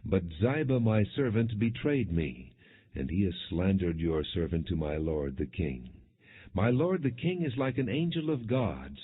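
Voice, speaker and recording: A man's voice: lowish voice, slightly rough, full character voice. A man reading the words of a lame, humble servant pleading earnestly with his king in a scripture audiobook. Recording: dull, muffled lo-fi audio.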